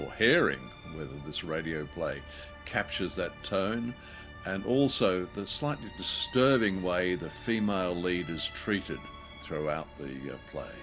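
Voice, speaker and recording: A man co-hosting a podcast, chatting casually in a halting, thoughtful way. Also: low voice. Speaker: podcast co-host. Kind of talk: casual introduction chat.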